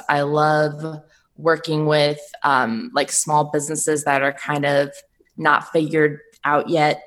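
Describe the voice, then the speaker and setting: A woman's voice: deep voice, fairly bright tone. A woman from the United States, a startup co-founder answering podcast questions remotely, upbeat and chatty. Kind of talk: casual interview answers. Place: Papillion, Nebraska, remote call.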